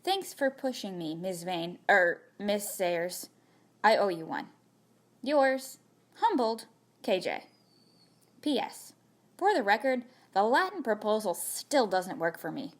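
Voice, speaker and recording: Woman reading aloud with very animated pitch. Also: takes it slowly. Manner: playful, wry, conversational emphasis. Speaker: reader of a magazine piece. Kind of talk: expressive scripted reading of a chatty open letter.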